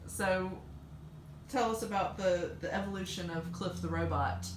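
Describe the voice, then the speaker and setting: A woman's voice: lowish voice, moderate pace. A woman hosting a casual chat, prompting a storyteller with questions and short interjections. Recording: roomy echo with background noise.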